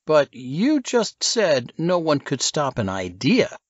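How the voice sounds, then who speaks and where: Man playing an uneasy questioner, lifted, swooping pitch. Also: wildly swooping pitch, slow pace, no echo, lo-fi sound, heavily acted. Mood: questioning, uneasy. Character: uneasy, questioning male colleague. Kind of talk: audiobook character dialogue.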